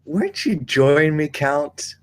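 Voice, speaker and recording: A man's voice: on the high side, big pitch swings, takes it slowly, clean tone. A man playing a drunken, lecherous, smug aristocratic villain in a dramatic table read.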